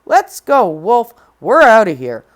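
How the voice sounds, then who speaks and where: A woman's voice: high voice, sing-song, a little slow, creaky, pitched above the performer's own voice, heavily acted. A woman voicing a bold, impatient young girl in a storybook read-aloud. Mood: bossy.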